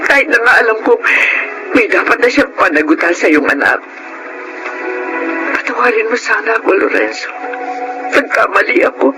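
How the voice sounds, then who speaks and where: A woman's voice: fairly high pitch, big pitch swings, moderate pace, pauses often, poor audio. A contrite Filipino mother in middle age for radio drama. Her delivery is emotional and theatrical, rising and falling widely, with music playing behind her. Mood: remorseful. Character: anguished, remorseful mother confessing her fault.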